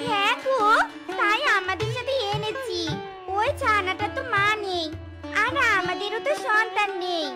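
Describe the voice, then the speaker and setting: Someone doing a squeaky sweet fox mama, cartoon style, pleading and coaxing, music loud behind. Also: measured pace. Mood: motherly. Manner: plaintive, motherly, coaxing. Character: tender, childless fox wife turned doting adoptive mother. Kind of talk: cartoon character acting.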